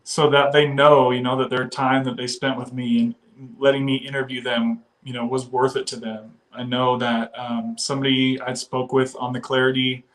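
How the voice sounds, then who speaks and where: A man's voice: medium pitch, level tone, normal speed, few pauses, fairly bright tone, rough recording. Rambling, chatty man on a livestream. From western United States. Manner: rambling and chatty, laughs often, swears casually. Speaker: livestream host. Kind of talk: casual livestream chat, reading viewer comments aloud.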